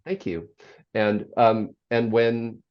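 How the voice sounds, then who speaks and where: A speaker in their sixties with a low bass voice, talking reflectively as an interview guest, pausing often with ums and restarting sentences. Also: steady tone, clean tone. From United States. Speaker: guest, author and former radio program director. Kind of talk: interview conversation. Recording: slightly dull lo-fi audio.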